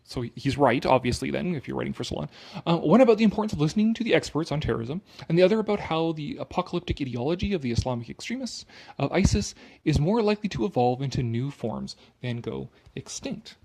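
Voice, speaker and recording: A somewhat high-pitched male voice with a gravelly, husky grain. He reads aloud theatrically and drops in sarcastic, mocking asides in a loose, sweary, opinionated style. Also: sing-song, fast talker, darkish tone. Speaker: commentator reading an article aloud with his own remarks. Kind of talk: sarcastic dramatic reading mixed with casual opinionated commentary.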